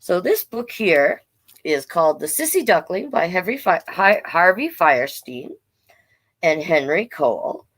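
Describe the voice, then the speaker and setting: A woman with a Canadian accent reading picture books aloud to children, playful and expressive, with chatty asides. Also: lowish voice, measured pace. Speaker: drag performer hosting children's storytime.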